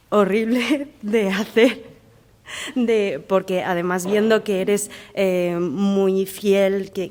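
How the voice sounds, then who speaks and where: A woman's voice: medium pitch, a little mellow. A woman whose first language is Chinese, hesitantly asking questions from the audience at a talk. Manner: hesitant, many fillers. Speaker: audience member.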